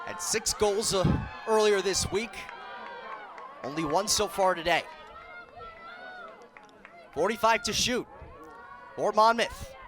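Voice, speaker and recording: American male play-by-play announcer, twenties, high register, projected delivery, live outdoor stadium crowd behind. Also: takes it slowly, pauses often, a bit bright. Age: 20s. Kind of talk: live lacrosse television commentary. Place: outdoor stadium broadcast position. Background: crowd and stadium noise.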